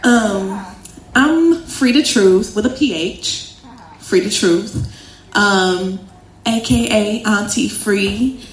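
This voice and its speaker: A woman of middle pitch introduces herself to a crowd as a community mediator. She talks in a rhythmic way and repeats her phrases. Her voice echoes around the room, and a faint buzz sits behind it. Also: slow, a little hiss or hum. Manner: warm and rhythmic, uses rhetorical repetition. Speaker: community mediator and event host. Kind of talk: casual self-introduction.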